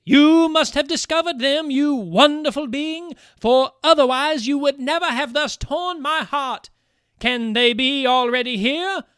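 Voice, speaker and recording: A man's voice: very high voice, a little slow, fairly bright tone, dry recording, clean studio sound, voice pushed up. A man voicing a proud young noblewoman who is crying and pleading desperately. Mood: tearful pleading. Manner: desperate, imploring.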